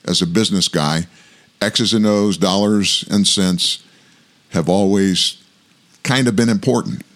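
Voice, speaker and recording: Older man with a big, very low voice. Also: fairly bright tone. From South Louisiana, US. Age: 60s. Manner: measured, reflective delivery. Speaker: commentary show host. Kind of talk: scripted political commentary monologue.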